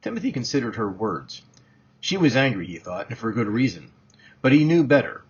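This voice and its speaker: A man with a husky voice reading his own novel aloud as scripted narration. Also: mid-range voice, very animated, brisk. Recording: dull, muffled lo-fi recording.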